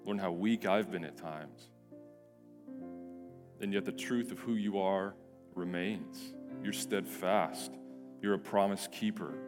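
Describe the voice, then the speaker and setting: A male pastor with a hoarse, deep, raspy voice, praying and then preaching earnestly, often restarting and repeating words. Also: slow, long pauses. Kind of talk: prayer and sermon. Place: church sanctuary. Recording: reverberant church hall with soft music.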